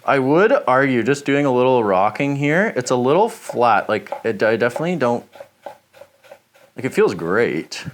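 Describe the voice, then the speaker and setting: A man giving a relaxed, chatty review, rambling with lots of fillers as he chops. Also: medium pitch, normal speed, slight background noise. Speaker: knife shop reviewer. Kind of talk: casual product review while chopping.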